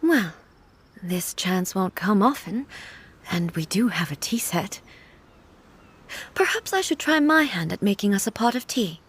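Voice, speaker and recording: An actress playing a solemn, regal warrior deity in a video game, grieving yet composed, with formal, measured phrasing and a soft, breathy voice. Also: female voice, mid-range voice, sing-song, a little hiss or hum. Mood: reflective. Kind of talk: video game story dialogue.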